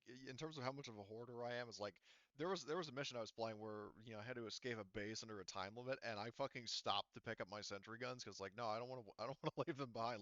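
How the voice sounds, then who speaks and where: A man working as game master, talking casually and wordily, thinking aloud and halting as he narrates a tabletop game. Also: on the low side, fairly bright tone, no room sound, muffled recording.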